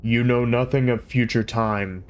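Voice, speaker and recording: Man doing a ponderous supercomputer, solemn, measured, grand. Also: medium pitch, fairly even intonation, smooth, lightly acted. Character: grandiose male supercomputer, ponderous and self-important.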